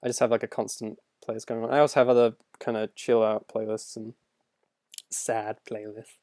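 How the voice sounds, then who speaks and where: A man's voice: on the low side, flat delivery, normal speed, a little mellow, dry recording. Young man, close dry sound. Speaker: animator and video creator answering viewer questions. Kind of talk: casual livestream Q&A, reading questions aloud.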